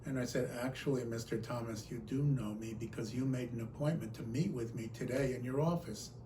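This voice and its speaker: A man giving a talk as a personal storyteller, recounting remembered exchanges in an animated manner. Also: medium pitch, a little fast, a little hiss or hum. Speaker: conference speaker.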